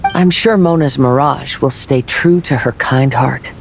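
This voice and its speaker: A man playing a gentle, composed and observant young man in an anime-style game, speaking politely. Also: medium pitch, unhurried, creaky, a little hiss or hum.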